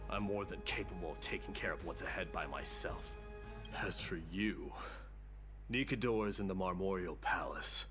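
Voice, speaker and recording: Blunt warrior heir, formal, confrontational, lower pitch. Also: male voice. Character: proud, blunt young warrior heir, man. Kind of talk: video game cutscene acting. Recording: game audio with music behind.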